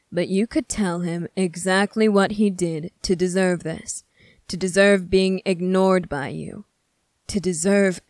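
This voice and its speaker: A woman voicing a stern, bitter young male demon prince in an ASMR roleplay, speaking deliberately and accusingly, at times sinking toward a whisper. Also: fairly low pitch, darkish tone, dry recording, close to an everyday voice. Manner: slow, deliberate, accusing, with breathy whispered phrases. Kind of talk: scripted ASMR roleplay monologue.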